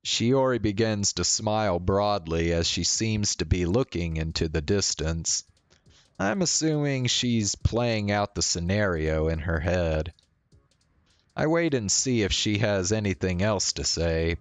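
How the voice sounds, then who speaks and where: A man's voice: low-pitched, no echo. A man, a streamer reading a visual novel aloud with casual commentary.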